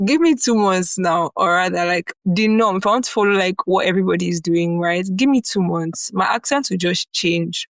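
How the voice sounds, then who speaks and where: A young Nigerian woman with a Nigerian accent, co-hosting a podcast in a chatty, animated way, often laughing and filling with "like" and "you know". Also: lowish voice, moderate pace, few pauses, dry recording. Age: young adult. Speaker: podcast co-host. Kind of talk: casual chat.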